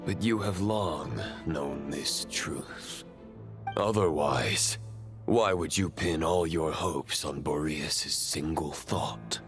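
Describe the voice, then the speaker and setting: A cold fallen knight who has turned to the Abyss. His voice sits on the low side and he speaks with slow, deliberate weight, every phrase formal and contemptuous. A villain from a story-driven video game.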